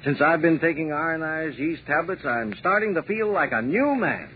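An announcer playing a cheerful, hungry husband in an old radio commercial skit. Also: male voice, on the high side, lively intonation, moderate pace, a little hiss or hum, pitched above the performer's own voice, natural voice. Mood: hearty. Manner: hearty, upbeat. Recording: tinny old radio broadcast.

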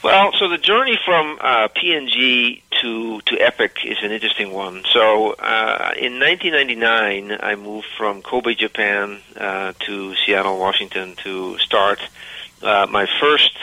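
A middle-aged man with a slight Dutch accent, a company founder answering interview questions in a measured way with many fillers. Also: lowish voice, pushed and bright, dry recording, low-quality recording. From United States. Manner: measured, filler-heavy storytelling.

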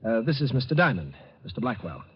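A man's voice: mid-range voice, big pitch swings, fast, husky. An American man playing a nervous, earnest young fellow in an old radio drama, hesitant in his delivery. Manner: hesitant, jittery. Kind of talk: 1950s radio drama acting. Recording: muffled, hissy old radio recording.

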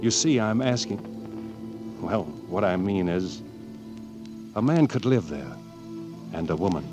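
Young cowboy outlaw: low voice, earnest, halting, vintage radio drama with music behind. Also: male voice, expressive. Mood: earnest, shy. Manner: halting, plainspoken western talk. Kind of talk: 1940s radio acting.

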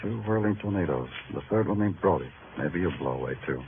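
An actor playing a world-weary, hard-boiled New York detective, wry and terse, in a vintage noir radio drama. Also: male voice, low-pitched, expressive, brisk, background noise. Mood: brooding, wry. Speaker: lead character and narrator. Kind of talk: 1940s-50s noir radio drama narration and dialogue. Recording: tinny old radio recording with music.

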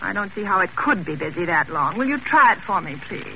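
An actress playing an anxious, complaining middle-aged invalid wife in a 1940s radio suspense drama. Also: female voice, medium pitch, animated, brisk, bright, forceful tone, highs cut off. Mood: fretful, nervous. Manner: fretful, complaining, insistent. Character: anxious, fretful invalid wife alone at home. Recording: noisy, band-limited old radio recording.

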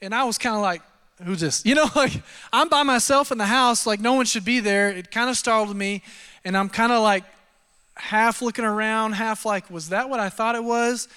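A pastor from Texas in his thirties preaches in a loose, conversational style, joking at his own expense and leaning on fillers. His voice sits high for a man and sounds smooth and resonant. A long reverb from a church hall trails each phrase. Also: moderate pace, sharp tone. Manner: conversational, self-deprecating storytelling with frequent fillers. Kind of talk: sermon with personal testimony. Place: church auditorium.

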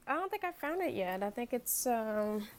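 A young woman from Haiti talks as a podcast guest in a gravelly, creaky voice. She speaks loosely and hesitantly, with plenty of fillers. Faint background noise sits under her voice. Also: on the high side, normal speed. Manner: relaxed, hesitant, many fillers. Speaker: podcast guest, fashion blogger. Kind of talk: casual interview chat.

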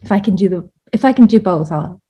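A woman from Vietnam, an author talking through her thoughts in a relaxed interview, with lots of fillers and restarts. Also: medium pitch, quick pace, slightly rough, muffled recording. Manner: reflective, many fillers and restarts, laughs often. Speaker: author and guest. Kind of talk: casual interview conversation.

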